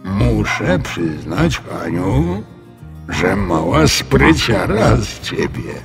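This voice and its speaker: A kindly old dragon from a children's tale, acted out by a man, mumbles in a deep, gravelly voice. He draws his words out slowly and calmly, a little forgetful. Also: in a large hall, faint music behind, full character voice. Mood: gentle, approving. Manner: unhurried, drawn-out, muttering to himself. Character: calm, kindly, absent-minded old male dragon.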